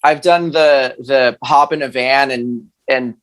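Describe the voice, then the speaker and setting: A man's voice: mid-range voice, steady tone, slow, a bit bright, poor audio. A thirty-year-old man chatting casually as a musician guest in an interview, hesitant and rambling, with slangy phrasing.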